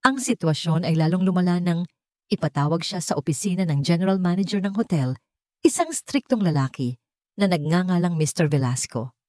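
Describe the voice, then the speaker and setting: A woman reading a scripted romantic drama story as narrator, with measured, melodramatic delivery. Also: lowish voice, darkish tone.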